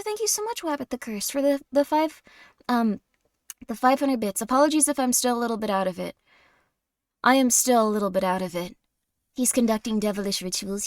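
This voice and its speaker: Woman, streamer, slightly raspy, tired, higher voice, rambling casual chat. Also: no echo, clean studio sound. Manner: rambling, chatty, lots of fillers and laughs. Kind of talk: casual chat while playing a game.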